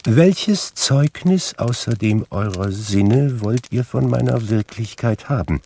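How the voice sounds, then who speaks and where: A man's voice: mid-range voice, takes it slowly, mellow, a little hiss or hum, voice pushed up. A man reading aloud, voicing the ghost of a dead old man weighed down by chains, in a grave, intoning way. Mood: solemn.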